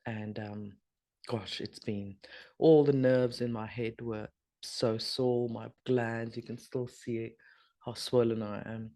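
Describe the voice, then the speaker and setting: A middle-aged woman, hoarse and husky from a sore throat, chatting casually as host of a spiritual video update with rambling, trailing asides. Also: extremely low pitch, steady tone, slow pace, warm tone. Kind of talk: casual spoken energy update.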